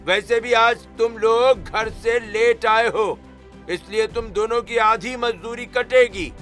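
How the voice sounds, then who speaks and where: A man's voice: very high voice, fairly even intonation, slow, a bit bright, soft background music, pitched above the performer's own voice, exaggerated voice. A man voicing an angry, scolding landlord who bullies his labourers in a cartoon story. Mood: angry, shouting. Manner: scolding, barking.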